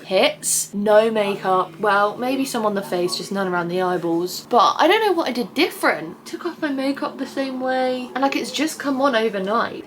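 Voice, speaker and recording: A young woman with an English accent rambles through her week in a slightly whiny, self-mocking voice, swearing freely. She speaks at a mid pitch into a close phone or camera in her bedroom. A faint room hum sits behind her. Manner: chatty, rambling, swears casually, self-deprecating. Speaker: vlogger. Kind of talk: casual vlog chat. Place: bedroom at home.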